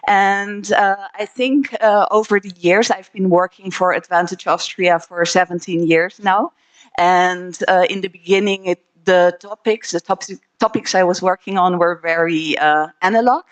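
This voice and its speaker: A middle-aged woman from the Netherlands, giving a friendly, hesitant conference talk on a stage microphone, with many filler pauses. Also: on the low side. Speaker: conference speaker. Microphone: handheld microphone.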